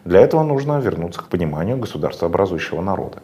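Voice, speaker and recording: A man speaking in a fairly low voice, answering at length in a political interview. He lectures emphatically, stressing points and filling pauses with hesitation sounds. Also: very animated, dark tone. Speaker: interview guest.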